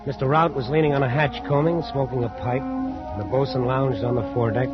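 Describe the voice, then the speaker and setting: A man's voice: mid-range voice, brisk, lightly acted. Guy acting as hot-headed salty sailor mate, old radio drama, dull lo-fi sound, music behind him. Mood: wry storytelling. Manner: blunt, excitable, salty colloquial sailor talk. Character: hot-headed, anxious ship's first mate, exasperated with captain. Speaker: actor and narrator in a radio play. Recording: muffled vintage radio recording with music.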